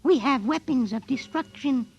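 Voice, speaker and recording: An actress in a 1950s radio drama voicing a tiny alien doll commander, haughty, precise and coldly menacing. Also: female voice, high-pitched, normal speed, gravelly, husky, lo-fi sound, soft background music, heavily acted. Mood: cold, imperious.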